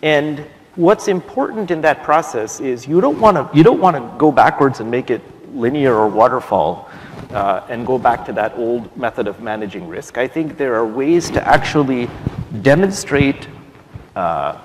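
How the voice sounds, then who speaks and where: A man on a panel discussing software development, thoughtful and dotted with fillers and restarts. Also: mid-range voice, expressive, normal speed. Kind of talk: conference panel answer. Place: large conference hall stage. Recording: long hall reverb, faint noise.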